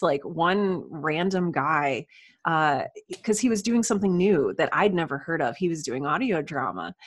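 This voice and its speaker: A woman chatting casually as a podcast guest, telling her story in an animated way. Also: on the low side, no room sound, clean studio sound. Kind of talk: casual podcast interview chat.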